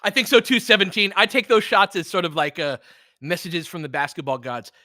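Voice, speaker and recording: A man hosting a live basketball watch-along, talking as an excitable, swearing fan who also analyzes the play. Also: high-pitched, fairly quick, no room sound, studio quality. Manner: profane, excitable fan talk with analytical asides. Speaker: sports podcast host and analyst.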